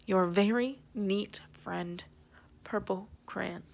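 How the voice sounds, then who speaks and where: A woman's voice: medium pitch, slow pace, creaky, a little hiss or hum. Woman playing a fussy, dramatic purple crayon. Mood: fussy, exasperated. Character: fussy, neat purple crayon on the edge of losing it. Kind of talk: children's storybook read-aloud.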